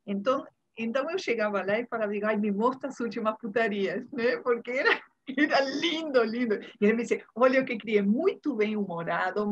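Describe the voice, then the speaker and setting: Woman, 50s, husky, Uruguayan Spanish accent, casual interview storytelling, dull video-call sound. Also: mid-range voice. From Montevideo, Uruguay. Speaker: interview guest, curator and art history professor. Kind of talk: casual reminiscing interview talk. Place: indoor room, video call. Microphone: earphone headset on video call.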